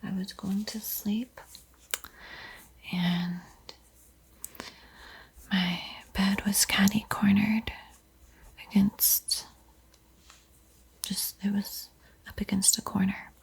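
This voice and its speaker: A woman hosting an ASMR art tutorial in a soft, breathy whisper, gentle and unhurried, with frequent pauses. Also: mid-range voice, flat delivery, poor audio. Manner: slow, gentle, pausing often.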